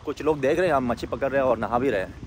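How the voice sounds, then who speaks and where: Excited man vlogging by a noisy lake. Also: medium pitch. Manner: excited, keeps pointing things out to viewers. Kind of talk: casual vlog narration. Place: outdoors by a lake. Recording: noisy outdoor recording with rushing water.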